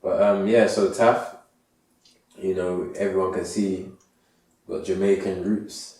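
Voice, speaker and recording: Deep-voiced relaxed interview host. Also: male voice, slow. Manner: relaxed, constant "yeah, yeah" backchannel. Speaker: podcast host. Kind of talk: casual interview. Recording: roomy sound from a distant mic.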